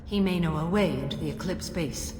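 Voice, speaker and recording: A woman acting as a stern, serene alien warrior in a video game, speaking formally and with measured solemnity. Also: low-pitched, lightly acted. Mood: solemn, resolute. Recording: echoey hall, music underneath.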